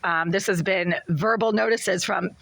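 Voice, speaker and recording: A woman with a gravelly, husky voice that sounds short of breath. She piles up accusations in long, relentless lists, agitated and insistent, as she records herself in a cramped apartment bathroom. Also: mid-range voice, measured pace, a bit bright. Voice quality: raspy. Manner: agitated, relentless, repetitive listing. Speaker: tenant recording a complaint. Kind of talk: angry first-person complaint vlog. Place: small apartment bathroom, then hallway.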